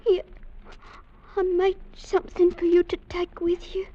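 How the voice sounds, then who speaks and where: A woman's voice: extremely high pitch, completely flat delivery, measured pace. A woman playing a sweet, anxious young girl in love, plaintive and tender, in an old-time radio drama. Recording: dull old radio recording.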